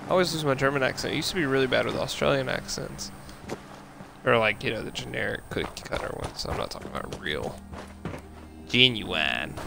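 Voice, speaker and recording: Gravelly excitable man swearing at a game. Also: medium pitch. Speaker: streamer. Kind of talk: casual let's play commentary.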